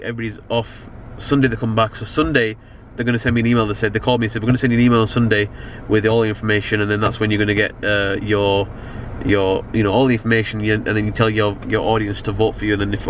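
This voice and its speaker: A man's voice: lowish voice, little pitch movement, fast talker, few pauses. Young British man vlogging in a noisy car. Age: young adult. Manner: rambling, slangy, frequent "you know what I mean". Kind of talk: casual chat to camera. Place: inside a car at night. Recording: car ambience, clear background noise. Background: car and outdoor urban noise.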